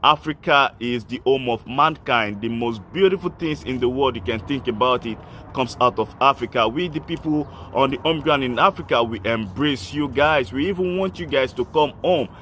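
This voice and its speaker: A man's voice: medium pitch, normal speed, pushed and bright, a little hiss or hum. A man, hosting an online channel, speaking earnestly and directly to his listeners. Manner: earnest, appealing directly to listeners, repetitive listing. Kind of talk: impassioned speech to camera.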